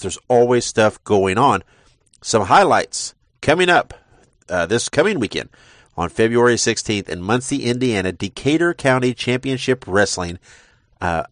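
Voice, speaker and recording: A congested man hosts a podcast in a casual, rambling way, full of fillers and repeated words. His voice sits at an ordinary pitch. The recording is dry and close, with no room around him. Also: dry recording. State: congested from a sinus infection. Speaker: podcast host.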